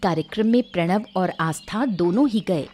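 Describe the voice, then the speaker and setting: A woman giving scripted narration for an animated moral story. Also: medium pitch, moderate pace.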